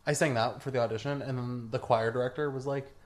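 A man's voice: medium pitch, steady tone, quick pace. A young man co-hosts a podcast in loose, casual chat. He talks in a laid-back, joking way and keeps the conversation light. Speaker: podcast co-host.

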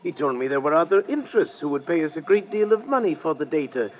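An actor playing a nervous, overwrought scientist, agitated and earnest, in an old radio drama. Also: male voice, on the high side, animated, fast talker, close to an everyday voice. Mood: distraught. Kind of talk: 1950s radio adventure acting. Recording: dull old radio recording with noise.

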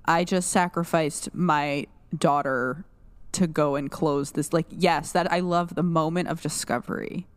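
A woman co-hosting a podcast, chatting casually and piling up fillers and restarts as she thinks aloud. Her voice sits on the low side. The recording is dry, with no room sound. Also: fairly even intonation, a little slow, dry recording. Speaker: podcast co-host. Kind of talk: casual chat.

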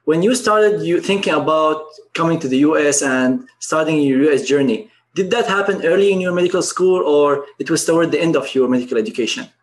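A male host interviewing a guest on his channel, with a somewhat high voice. He asks friendly, brisk questions. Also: low-quality recording. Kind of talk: scripted-sounding interview questions.

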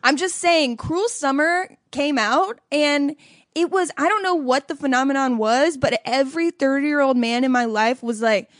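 A young woman chats on a podcast with a high, bright-pitched voice. She keeps things playful and teasing. The sound is dry and close. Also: normal speed, dry recording. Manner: playful, joking, laughs often. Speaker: podcast co-host. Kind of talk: casual chat.

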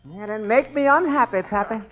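A man as a high, sarcastic tavern waiter. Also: fairly quick, close to an everyday voice. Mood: wisecracking. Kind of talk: 1940s radio comedy acting. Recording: muffled old radio broadcast with loud hiss.

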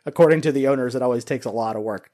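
A man's voice: mid-range voice, racing, mellow. Folksy man hosting. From Louisiana, United States. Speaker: podcast host interviewer. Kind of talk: casual chat interview.

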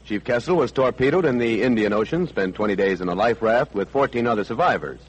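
A man's voice: mid-range voice, lively intonation, fast talker, breathy edge, rough recording. A man interviewing in a scripted, formal 1940s radio announcer style.

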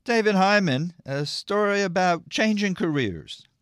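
A man's voice: high voice, lively intonation, measured pace, fairly bright tone, clear, resonant tone, no echo, studio quality. A man co-hosting a radio storytelling show, commenting with light amusement. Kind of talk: casual chat with co-host.

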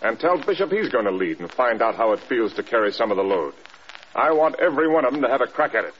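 An actor playing a stern, defiant air force general in a vintage radio drama, speaking in brisk, clipped military phrases. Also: male voice, mid-range voice, sing-song, natural voice. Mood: brisk, commanding. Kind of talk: 1940s-50s radio drama acting. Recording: muffled, hissy old radio recording.

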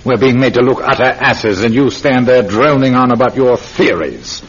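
An actor playing an impatient senior London police inspector with a British accent, brusque and commanding in an old-time radio drama. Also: male voice, medium pitch, animated, normal speed, breathy edge. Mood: exasperated. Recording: dull, muffled classic radio recording.

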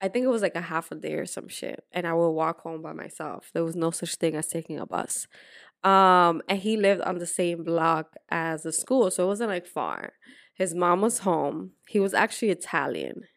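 A young woman from the Dominican Republic, co-hosting a casual podcast. She tells stories frankly and with plenty of swearing, in a lowish voice that sits close and dry against the mic. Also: expressive, vocal fry, no room sound. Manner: frank, profane storytelling with quick asides. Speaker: podcast co-host. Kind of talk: candid casual chat.

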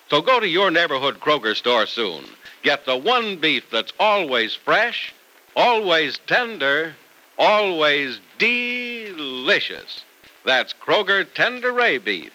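A man's voice: on the high side, sharp tone, low-quality recording. A man announcing and narrating in a theatrical, emphatic old-time radio style, on a vintage broadcast recording.

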